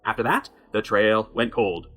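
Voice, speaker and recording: A man hosting a podcast, narrating a true crime story from a prepared script in a measured way. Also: on the low side, sharp tone. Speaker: podcast host.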